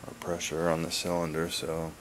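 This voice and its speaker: A man giving a casual, laid-back vlog update in a halting, plain-spoken way, recorded on a handheld camera in a garage. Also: low-pitched, monotone, slight background noise. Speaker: wood-splitting video blogger. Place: garage, open to outdoors. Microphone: handheld camera's built-in mic.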